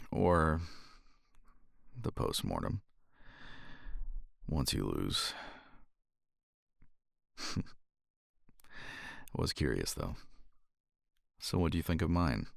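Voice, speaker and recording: A man playing a cocky, flirtatious competitor in an intimate romance ASMR roleplay, murmuring teasingly with a gravelly, husky, breathy voice close to the microphone. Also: a bass voice, sing-song, no room sound, exaggerated voice. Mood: confident, teasing. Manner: teasing, unhurried, murmuring with sighs and pauses.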